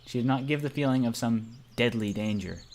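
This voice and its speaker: A man's voice: medium pitch, fairly quick, a little mellow, a little hiss or hum. A man casually reading visual novel narration aloud as part of a group playthrough.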